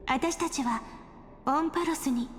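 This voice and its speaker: Casting: gentle young game heroine played by an actress, very high voice, wistful yet resolute, long reverb. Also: female voice, a little fast, slight background noise, close to an everyday voice. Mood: solemn, resolute. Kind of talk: Japanese anime game voice acting. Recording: long reverb, music under the voice. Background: game music.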